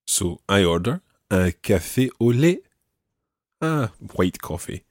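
Slow, low-voiced male language teacher. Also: sing-song, darkish tone, no echo, clean studio sound. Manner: patient, repeats phrases slowly. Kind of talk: explaining French phrases in English.

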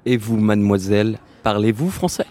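A man's voice: on the low side, moderate pace, warm tone. Polite French-speaking male waiter. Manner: polite, formal service speech. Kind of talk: scripted role-play dialogue.

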